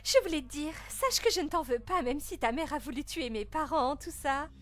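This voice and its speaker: A woman's voice: sits high, expressive, a little fast. A woman voicing a spoiled, jealous teenage princess with a snooty, dramatic manner in a fan-dub narration. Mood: snide.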